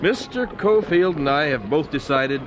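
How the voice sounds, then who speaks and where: A man playing a devout, resolute young missionary husband in a radio drama, earnest and gentle. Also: fairly high pitch, expressive, moderate pace, background noise, natural voice. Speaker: voice actor in an audio drama. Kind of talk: radio drama dialogue acting.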